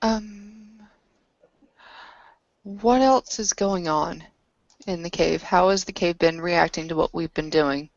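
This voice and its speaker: A woman's voice: on the low side, slow pace, long pauses, no echo, muffled recording. A woman talking casually as a player in a tabletop roleplaying game.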